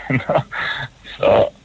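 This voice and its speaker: A man's voice: extremely slow, no echo. A man from Hungary calling into a radio show, rambling and full of "like, you know" fillers. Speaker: caller. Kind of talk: phone-in call. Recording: tinny phone line.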